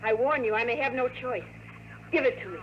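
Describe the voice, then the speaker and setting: An actress playing an anxious, conflicted time traveler in 1950s radio drama, speaking with earnest, melodramatic intensity. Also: female voice, on the high side, fairly quick, natural voice. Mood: tense, threatening. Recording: noisy old radio drama recording.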